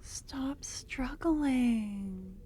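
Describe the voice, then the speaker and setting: A woman voicing an obsessive, possessive young cultist in an ASMR fantasy roleplay, speaking in a soft, breathy half-whisper that coaxes and soothes. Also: sits high, lively intonation, drawn-out words, a little hiss or hum, full character voice. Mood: soothing, possessive.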